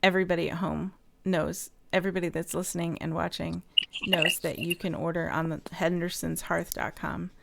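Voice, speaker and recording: A woman co-hosting an interview podcast, chatty and quick to laugh. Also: lowish voice, flat delivery, vocal fry, a bit bright, a little hiss or hum. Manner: bubbly, laughs a lot. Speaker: podcast co-host. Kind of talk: casual interview conversation.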